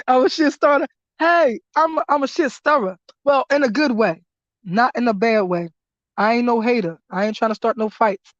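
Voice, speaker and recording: A woman with a hoarse, fairly high voice hosts a live stream, hyping things up with laughter and swearing. The sound is dull and lo-fi, and the room is dry. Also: no echo. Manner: loud, laughing, profane hype commentary. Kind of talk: casual live-stream chat.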